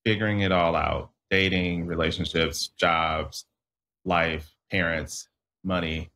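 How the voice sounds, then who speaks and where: A young adult man from southeastern Michigan, a guest author talking casually, relaxed and restarting often. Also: sits low, slow, a little hiss or hum. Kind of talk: casual interview talk.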